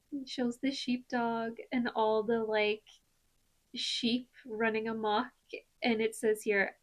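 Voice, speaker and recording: Irish woman, 30s, unhurried chatty vlogger, loose and rambling delivery. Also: mid-range voice. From Ireland. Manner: relaxed, rambling, many ums and likes. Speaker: knitting vlogger. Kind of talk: chatty podcast-style vlog.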